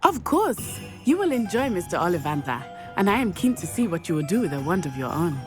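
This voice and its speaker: A woman's voice: fairly low pitch, very animated, a little fast, over music. An actress playing an eager, friendly teenage schoolgirl in a video game, with polite, precise phrasing. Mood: helpful, keen. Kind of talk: video game character acting.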